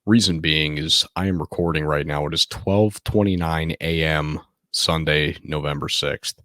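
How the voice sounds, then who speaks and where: A man in his thirties hosting a casual late-night solo podcast from home, speaking softly and close to the microphone in a laid-back, candid way. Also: extremely low pitch, steady tone, measured pace, no room sound. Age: 30s. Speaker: podcast host.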